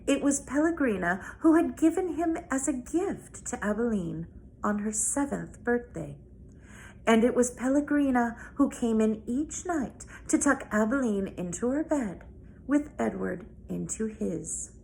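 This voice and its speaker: A woman reads a children's novel aloud as a storyteller, with deliberate, dramatic phrasing. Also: a little high, animated, creaky, a little mellow, poor audio. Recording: noticeable background noise.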